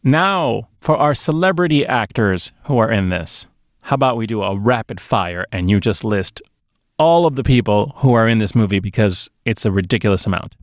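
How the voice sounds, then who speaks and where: Guy hosting a movie podcast, lots of vocal fry, dry close mic, chatting casually. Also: lowish voice, animated, moderate pace, no room sound. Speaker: podcast host. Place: small dark home studio, indoors. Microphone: close podcast microphone on boom arm.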